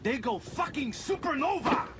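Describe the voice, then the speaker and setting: A man's voice: extremely high pitch, expressive, moderate pace, music in the background. A man playing a cocky, defiant young cartel gunman in a video game, brash and swearing. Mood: frantic defiance. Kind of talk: scripted game action dialogue.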